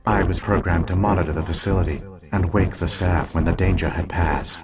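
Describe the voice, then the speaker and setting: An actor playing an ancient alien artificial intelligence in a video game, solemn and coldly logical, speaking in measured, formal phrases. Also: male voice, deep voice, fairly even intonation, creaky. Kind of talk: scripted video game dialogue.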